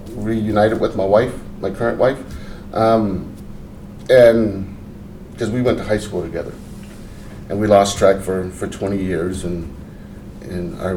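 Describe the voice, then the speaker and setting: A middle-aged man from Portland recounts his life in a relaxed interview, pausing often and restarting his sentences. His voice sits low and mellow. The mic is some way off in an echoey room. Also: slight background noise. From Portland, Oregon. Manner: unhurried, halting with fillers and restarts. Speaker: interview guest, veteran. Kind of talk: casual life-story interview. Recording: roomy, mic at a distance.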